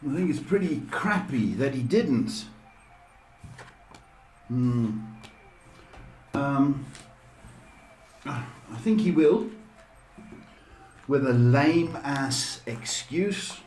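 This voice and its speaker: Slow-talking man in an echoey room. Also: mid-range voice, expressive, darkish tone. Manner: rambling, joking. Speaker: live stream host giving advice. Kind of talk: casual chat. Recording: echoey room, faint background noise.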